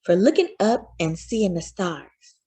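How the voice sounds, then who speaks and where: A woman voicing a loving, patient mother who gently reassures her young son in a children's storybook read-aloud. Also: medium pitch, very animated, measured pace, slightly rough. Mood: hushed, wistful.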